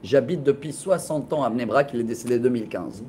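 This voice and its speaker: A man in his forties, a rabbi teaching a lively Torah class in a classroom, telling stories with laughter and gestures into a close webcam. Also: medium pitch, quick pace, slight background noise. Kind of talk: lively storytelling lesson. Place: classroom with bookshelves, indoors. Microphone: laptop webcam at close range.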